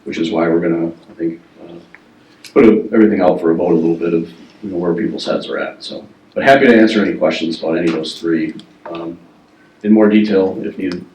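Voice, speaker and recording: A man working as a facilities planning consultant, giving a measured, explanatory presentation at a meeting with frequent ums and uhs. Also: deep voice, fairly even intonation, darkish tone, slight background noise. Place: meeting room. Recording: echoey room, voice from far off the mic.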